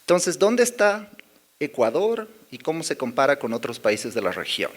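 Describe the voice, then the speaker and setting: A man with a Bolivian accent presenting research charts at a conference, with many pauses, fillers and little tag questions. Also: fairly high pitch, sing-song. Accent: Bolivian Spanish. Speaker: conference speaker. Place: conference hall.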